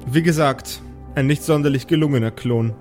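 Man putting on a polite, gentle woman, slightly high. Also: a little fast, faint music behind, lightly acted. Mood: gentle, resigned. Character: kind, slightly melancholic female clone, easily offended local woman.

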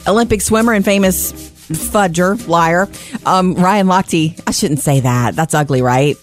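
A woman's voice: lowish voice, a little slow, soft background music. A woman hosting a radio show, chatting enthusiastically with callers and reading entertainment news.

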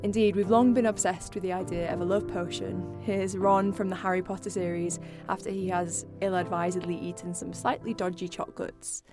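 A woman presenter reads a scripted intro in a smooth, measured voice. Clear music plays beneath her narration. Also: fairly low pitch, level tone.

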